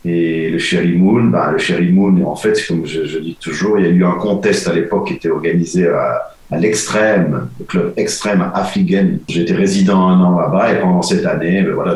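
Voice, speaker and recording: A deep-voiced man in his forties talks on a video call from his home studio. He is relaxed and halting, often repeating words. The audio sounds roomy. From Belgium. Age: 40s. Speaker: DJ and producer guest. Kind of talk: casual interview on a video call. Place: home music studio, indoors.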